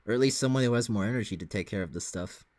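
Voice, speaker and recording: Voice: mid-range voice, racing, a little mellow, dry recording. A co-host giving casual reaction commentary in an easygoing, conversational way.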